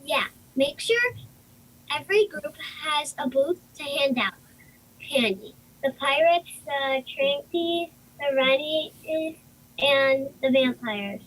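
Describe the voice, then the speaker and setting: A woman's voice: high-pitched, slow, fairly bright tone, rough recording. A woman playing a bossy, precocious nine-year-old girl in an amateur audio play, with a commanding, matter-of-fact delivery. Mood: bossy, brisk.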